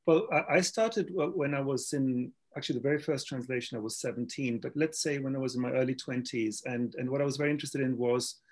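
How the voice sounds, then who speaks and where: A man's voice: mid-range voice, fast, hardly any pauses, darkish tone. A man whose first language is German, speaking as a translator on a panel video call, hesitant and repeating words as he thinks.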